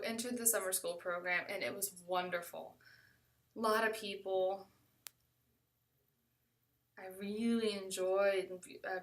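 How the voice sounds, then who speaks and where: Bright-voiced American woman telling a story. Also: medium pitch, far from the mic. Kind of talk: first-person storytelling, conversational.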